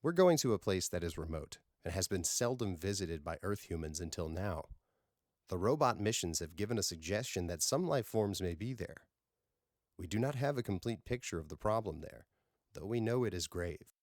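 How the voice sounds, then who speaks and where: A man voicing a serene, intuitive alien woman with formal, calm, reassuring phrasing in an audiobook. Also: low voice, normal speed, no room sound, clean studio sound.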